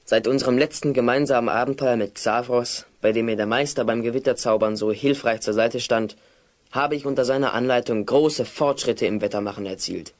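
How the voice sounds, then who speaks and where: A man plays a studious young magician's apprentice with a medium-pitched voice. He explains things earnestly and at length, choosing his words with care, in a children's fantasy audio drama. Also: a little fast, close to an everyday voice. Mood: earnest, explaining. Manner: precise, long-winded, earnest explaining. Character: earnest, bookish male apprentice wizard, slightly unsure. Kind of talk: German children's audio play acting.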